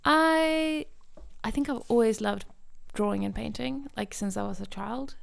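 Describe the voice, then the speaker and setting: Creaky-voiced young Norwegian woman chatting. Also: a little high, unhurried. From Norway. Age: young adult. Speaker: podcast guest, visual artist. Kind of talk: casual interview conversation.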